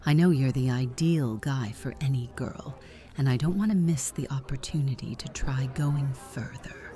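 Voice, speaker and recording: A woman voicing a flirtatious, jealous, tender aunt in a visual novel game, speaking softly and intimately with a breathy delivery. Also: very deep voice, normal speed. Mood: earnest, longing.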